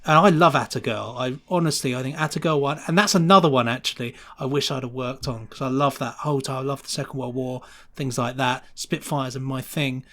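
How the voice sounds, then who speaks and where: A man's voice: medium pitch, a little fast, fairly bright tone. Man, podcast guest, casual chat, enthusiastic, effusive, rambling delivery. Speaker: podcast guest, sound designer.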